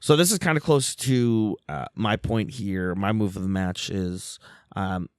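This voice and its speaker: A man with a lowish, slightly hoarse and tired voice co-hosts a casual podcast. He talks with animated energy. The sound is dry and close to the mic. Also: a little slow, no room sound. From Philadelphia area. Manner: animated, reads out quotes with big swings. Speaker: podcast co-host. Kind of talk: casual chat.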